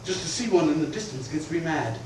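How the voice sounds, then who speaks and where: A man's voice: fairly high pitch, animated, a little fast, close to an everyday voice. A man plays a middle-aged landowner in a permanent fury, ranting in a raised voice. The sound is far from the mic, with heavy room echo. A faint hiss sits under it, as on an old stage recording. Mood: grumbling. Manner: ranting, blustering, swings from shouting to grumbling. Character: irascible blustering male landowner creditor, middle-aged. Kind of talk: theatrical stage acting.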